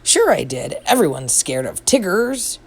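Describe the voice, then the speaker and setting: A woman's voice: fairly low pitch, very animated, unhurried, a little mellow, slightly rough, heavily acted. A woman voicing a bouncy, boastful male tiger in a storybook, with a brash, chummy, exuberant manner. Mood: brash, cheerful. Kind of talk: storybook read-aloud.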